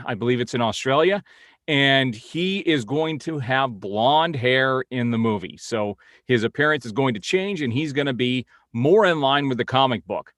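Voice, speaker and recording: A man's voice: medium pitch, a bit bright, no room sound, clean studio sound. A man hosting an informal news update and opinion vlog, speaking in a blunt, opinionated way. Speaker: host of a comic-book film news vlog.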